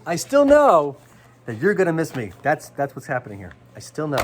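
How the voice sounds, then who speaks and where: A man's voice: fairly high pitch, wildly swooping pitch, a little fast, a little hiss or hum. A man in casual banter with friends, talking in a playful, joking, punning way.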